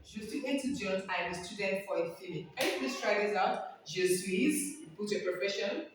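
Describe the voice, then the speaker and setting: A Nigerian woman teaching a French lesson to learners, repeating each phrase slowly for them to copy. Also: medium pitch, expressive, background noise. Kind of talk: classroom-style language lesson. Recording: very echoey room, speaker far from the mic.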